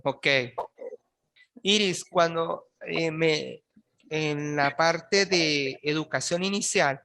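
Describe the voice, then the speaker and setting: A man's voice: on the high side, very slow, sharp tone. Man, lecturer, online class, hesitant, restarts words, checks in with students. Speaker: university lecturer. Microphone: video call.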